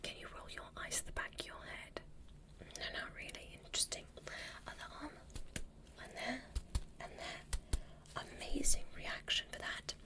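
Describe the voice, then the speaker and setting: A woman voicing a calm, curious alien doctor in an ASMR roleplay, speaking in a gentle, breathy whisper with an unhurried, formal manner. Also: lightly acted. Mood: curious, clinical. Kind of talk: ASMR medical exam roleplay.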